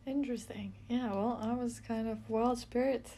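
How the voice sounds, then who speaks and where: A young woman from Latvia co-hosting a podcast, chatty and quick to laugh. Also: on the high side, a little hiss or hum. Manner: chatty, laughs often, lively asides. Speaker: co-host. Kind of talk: casual chat.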